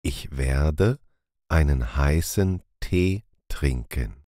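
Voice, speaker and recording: A man reading short phrases calmly and deliberately for a language lesson, repeating each one. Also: a bass voice, dry recording, studio quality. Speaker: language teacher.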